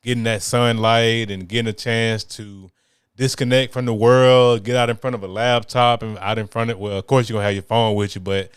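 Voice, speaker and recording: A man's voice: medium pitch, flat delivery, fairly quick, smooth, no echo, studio quality. A man hosting a podcast, talking in an upbeat, slangy, conversational way. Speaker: podcast host. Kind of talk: casual podcast talk.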